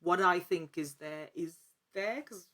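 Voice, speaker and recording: A woman's voice: lowish voice, measured pace, fairly bright tone. A woman talking casually as an interview guest, earnest and thinking aloud in broken phrases. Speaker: podcast guest, actor and actual-play storyteller.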